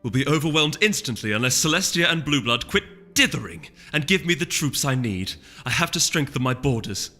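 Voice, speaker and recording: An imperious noble mare duchess, acted out by a male reader, haughty and exasperated. The voice is pushed high and bright, with emphatic storybook delivery in long reverb. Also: animated, a little fast, hardly any pauses. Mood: insistent, urgent. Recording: long reverb, music underneath.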